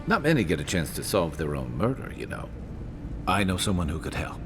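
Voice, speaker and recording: An actor playing a worldly, dry companion in a video game, talking in an understated, conversational way. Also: male voice, deep voice, very animated, brisk, over music. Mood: dry, advising. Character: dry, worldly male companion advising the envoy.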